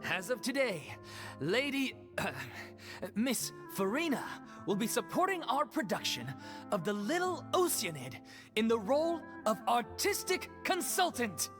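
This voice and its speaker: An actor voicing a polite, formal young leader of a theater troupe in a game. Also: male voice, extremely high pitch, sing-song, a little slow, heavily acted. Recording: game audio with music.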